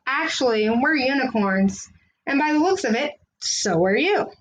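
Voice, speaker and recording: A woman's voice: sits high, expressive, a little slow, poor audio. A woman voicing friendly, welcoming unicorns from a children's picture book, with an emphatic, inviting delivery. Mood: amused, friendly.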